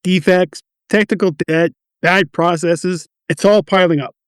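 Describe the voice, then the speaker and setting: A man plays a stressed quality assurance manager in an office radio drama. His voice sits on the high side, with a defensive, wry and touchy manner. The recording is dry. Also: steady tone, measured pace, clean tone, dry recording. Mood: touchy, worn down. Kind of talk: amateur radio play dialogue.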